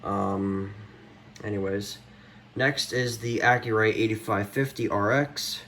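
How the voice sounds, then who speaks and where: A man with a slightly low voice talks casually and off the cuff, like a hobby vlogger showing things around his home. His wording is slangy and easily exasperated. He sounds some distance from the mic, with faint noise behind him. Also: fairly even intonation, takes it slowly. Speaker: vlogger showing a radio collection. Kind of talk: casual unscripted show-and-tell. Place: indoors, room at home.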